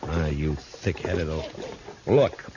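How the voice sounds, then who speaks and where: Deep-voiced frontier marshal. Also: male voice, slow. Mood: stern, exasperated. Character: firm, plain-spoken frontier marshal, tough but fair. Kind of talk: 1950s radio western acting. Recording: dull, hissy 1950s radio recording.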